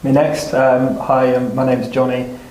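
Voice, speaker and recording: A man's voice: mid-range voice, little pitch movement, measured pace, a little mellow. A man chatting casually in a group, heard in a roomy, distant recording. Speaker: panel participant. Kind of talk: casual chat. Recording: roomy and distant, background noise.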